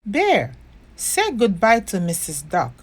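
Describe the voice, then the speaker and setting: Caring storybook woman, acted by a female reader: gentle, coaxing, very unhurried, animated pitch. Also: husky, heavily acted. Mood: concerned. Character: caring woman looking after her little bear.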